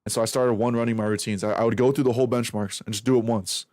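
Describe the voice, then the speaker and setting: Young male streamer, mid pitch, loose and profane rambling, close dry sound. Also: steady tone, rapid-fire, a little mellow, no room sound. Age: 20s. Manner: rambling, profane, self-reflective, restarts a lot. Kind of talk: casual stream chat while aim training.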